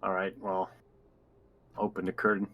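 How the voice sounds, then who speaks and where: A man's voice: deep voice, completely flat delivery, normal speed, fairly bright tone. A man chatting casually as a player in a tabletop game. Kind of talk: casual group game chat.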